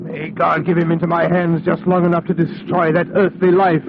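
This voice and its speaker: Anguished young husband, high male voice, earnest melodramatic radio acting, noisy old recording. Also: completely flat delivery, moderate pace, gravelly, dull audio. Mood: vengeful. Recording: noisy, band-limited vintage radio recording.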